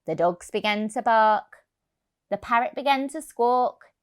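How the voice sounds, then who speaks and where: A woman reading a picture book to young children, expressive and deliberate, stressing key words. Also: on the high side, smooth. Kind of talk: storybook narration for young children.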